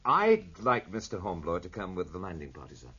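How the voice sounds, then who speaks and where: A man's voice: low-pitched, wildly swooping pitch, brisk, pushed and bright, slightly rough, dull audio, heavily acted. A man playing a hearty, forthright, plain-spoken British naval lieutenant in a radio drama. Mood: hearty, businesslike.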